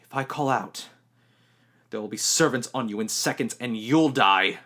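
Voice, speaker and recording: A man's voice: mid-range voice, normal speed, pushed and bright, close to an everyday voice. A man voicing a proud, frightened teenage boy, a duke's son, speaking tensely and defiantly.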